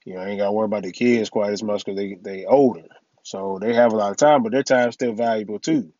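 A middle-aged man hosting his own podcast in a casual, rambling way, full of "you know" and "man", his voice a little raspy from allergies. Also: lowish voice, quick pace, warm tone, no room sound, muffled recording. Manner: laid-back, rambling, many "you know" and "man" fillers. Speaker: podcast host. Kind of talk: casual solo podcast talk.

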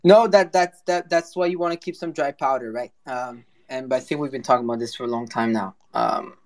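A man's voice: medium pitch, fairly quick. A man hosting a casual panel chat, talking in an informal, slangy way.

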